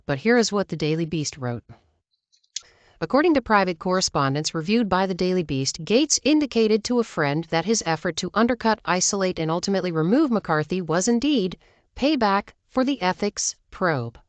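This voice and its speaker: A woman with a slightly low voice reads scripted political news as a commentator. The sound is close and dry, with very little room on it. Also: lively intonation, normal speed, no room sound.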